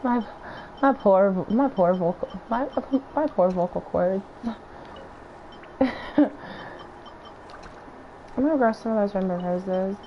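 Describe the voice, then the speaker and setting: A hoarse woman with a raspy voice, chatting and thinking aloud in casual gameplay commentary as she streams. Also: mid-range voice, slow pace, pauses often. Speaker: game streamer. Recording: dull lo-fi stream audio with faint noise.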